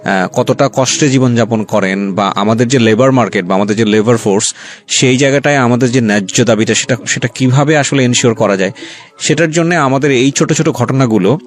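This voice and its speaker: A man's voice: mid-range voice, fairly quick. A man with a Bangladeshi accent hosting a radio interview, addressing listeners reflectively and mixing English words into his Bengali. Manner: hesitant phrasing, mixes English words into Bengali. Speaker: radio show host interviewing a guest. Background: faint music.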